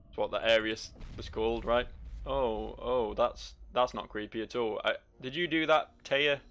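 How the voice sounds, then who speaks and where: A man's voice: medium pitch, fairly even intonation, normal speed, a bit bright. A man hosting a game playthrough with casual, wry, sweary commentary. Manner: wry, sweary, reads game text aloud. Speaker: gaming video host. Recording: faint noise, game ambience.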